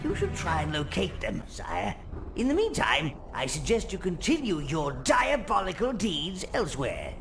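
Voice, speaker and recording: A man's voice: sits high, wildly swooping pitch, normal speed, gravelly, exaggerated voice. A man voicing an elderly, goblin-like minion advisor in a video game, fawning, theatrical and gleefully wicked. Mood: scheming, advisory. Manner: theatrical, deferential, relishing evil. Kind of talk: video game character acting. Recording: game music behind voice.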